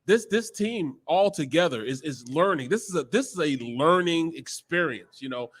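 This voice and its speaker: Guy with a higher voice breaking down football casually, point by point. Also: animated, normal speed. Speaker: sports show panelist.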